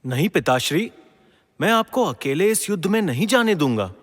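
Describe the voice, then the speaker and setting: A man's voice: high-pitched, sing-song, normal speed. A man acting an earnest, brave and dutiful young prince in a mythological audio drama, declaiming with formal respect and passion. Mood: pleading, resolute. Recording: long hall-like reverb.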